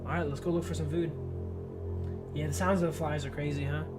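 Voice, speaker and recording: A man's voice: fairly high pitch, steady tone, brisk, music in the background. A man livestreaming a game, reading its story text aloud and chatting in slang, stumbling over long words. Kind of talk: narrating game text, casual chat with viewers.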